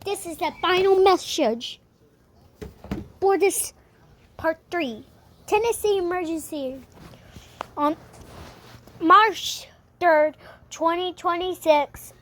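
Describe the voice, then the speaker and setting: Woman, very high voice, slow and halting delivery, amateur emergency-broadcast announcer repeating her phrases. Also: fairly bright tone. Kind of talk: scripted mock emergency alert announcement.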